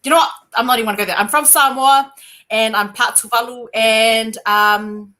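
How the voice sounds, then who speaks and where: A woman's voice: fairly high pitch, fairly even intonation, moderate pace, bright, forceful tone. A woman, a podcast guest, talking in a playful, joking way. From New Zealand. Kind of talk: casual podcast chat.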